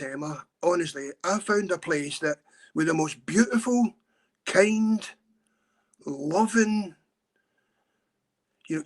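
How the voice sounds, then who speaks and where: A middle-aged Scottish man telling a story in an earnest, urgent way. He talks a lot, in a casual podcast chat. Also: high voice. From Scotland. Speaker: campaigner and guest.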